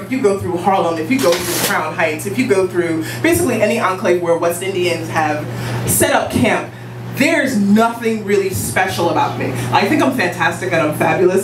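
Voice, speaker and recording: A woman from Guyana in her late twenties tells a personal story to a live audience, confident and playful. She sounds set back from the mic in an echoing room. A clear hum of room noise runs underneath. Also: medium pitch. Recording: roomy, distant, noisy live room.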